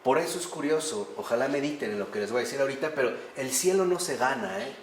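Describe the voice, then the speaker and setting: Male lecturer, animated, asks the audience rhetorical questions, echoey room with faint background hum. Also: mid-range voice, fast talker. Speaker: priest teaching a class. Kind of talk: conversational lecture on faith.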